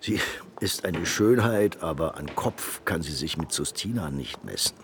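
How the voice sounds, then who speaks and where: An actor playing a glib, scheming Venetian go-between in a German radio play, talking in a chatty, insinuating and persuasive way. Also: male voice, very deep voice, expressive, measured pace, a little hiss or hum, full character voice. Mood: chatty, boastful.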